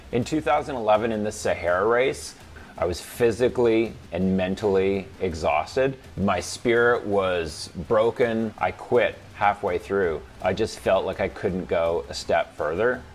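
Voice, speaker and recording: A man with a low voice talks in a reflective, measured way about running. He speaks in documentary interview style, and a clear music bed sits under his voice. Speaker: ultramarathon runner.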